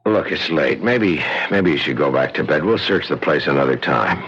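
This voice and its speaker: Skeptical wisecracking neighbor, seventies radio drama. Raspy, animated, casual delivery; dull broadcast recording. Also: male voice, a bass voice, moderate pace, full character voice. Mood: wry, probing. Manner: casual, sardonic, streetwise. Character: skeptical, wisecracking city bartender, male neighbor. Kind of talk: 1970s radio drama acting.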